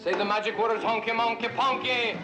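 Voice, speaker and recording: A rowdy joker. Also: male voice, extremely high pitch, steady tone, brisk. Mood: boisterous. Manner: broken English, laughing, loud. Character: boisterous Russian man Igor, playful and romantic. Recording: roomy sound with loud music behind.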